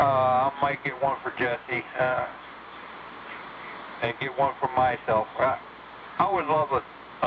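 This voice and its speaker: A man talking casually over CB radio as an operator, folksy and informal with plenty of radio jargon. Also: mid-range voice. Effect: heard over CB sideband radio. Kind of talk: casual on-air radio chat. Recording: noisy, phone-like CB radio signal.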